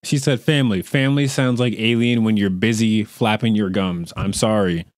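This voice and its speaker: A man's voice: fairly low pitch, fairly even intonation, normal speed. A young man streaming himself reacting to a video, joking and teasing in casual commentary with mock apologies, talking into a desk mic with a headset in a room at home. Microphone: desk mic on a boom arm with headset.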